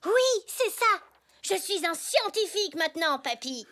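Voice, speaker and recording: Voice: very high voice, big pitch swings, measured pace, fairly bright tone, heavily acted. A performer voicing an eager, curious young zebra boy who talks earnestly in a children's cartoon. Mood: proud. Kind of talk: children's cartoon dub acting.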